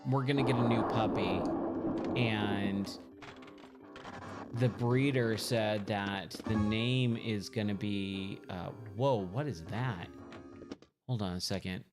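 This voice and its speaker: A hoarse man chatting casually while he streams himself playing pinball, thinking aloud and getting sidetracked mid-sentence. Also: lowish voice, slow. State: hoarse, scratchy voice. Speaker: game streamer. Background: game music.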